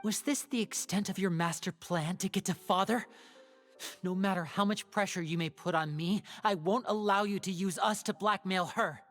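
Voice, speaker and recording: A woman's voice: mid-range voice, moderate pace, faint music behind, close to an everyday voice. A woman playing a guarded, defiant young male magician in a video game, pointed and challenging. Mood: guarded, resolute.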